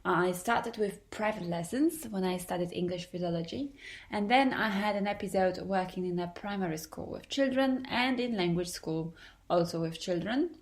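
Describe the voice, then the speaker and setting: A woman's voice: medium pitch, moderate pace. A young adult woman with a Polish accent, an English teacher talking through her solo podcast in a careful, earnest and slightly formal way.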